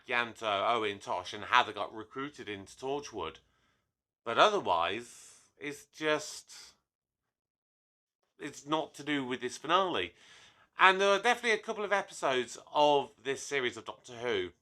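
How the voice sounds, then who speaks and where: A young man chatting to camera in a casual solo review, slightly hoarse from a cold, animated and full of asides. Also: medium pitch. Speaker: YouTube reviewer.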